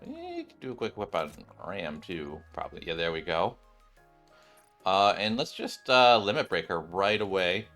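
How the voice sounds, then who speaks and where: A man hosting a gaming channel with casual, excitable commentary, often exclaiming and repeating his phrases. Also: low voice, moderate pace. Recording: music behind the voice.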